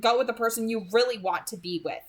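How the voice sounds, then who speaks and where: A sullen teenage boy from a novel, voiced by a woman reader, speaks with dramatic, indignant emphasis. The pitch rises a little above an ordinary reading voice. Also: fast talker, close to an everyday voice. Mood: indignant, hurt.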